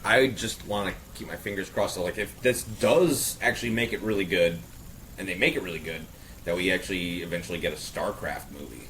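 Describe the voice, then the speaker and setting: A man's voice: deep voice, fairly quick, fairly bright tone. A man chatting casually as a panelist in round table banter among friends. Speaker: podcast panelist. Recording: noisy room recording.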